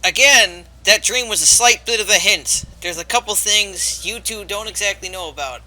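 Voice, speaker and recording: Bright-voiced, swearing young streamer. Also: male voice, sits high, normal speed, low-quality recording. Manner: rambling, swears freely, self-mocking. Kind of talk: casual live game commentary.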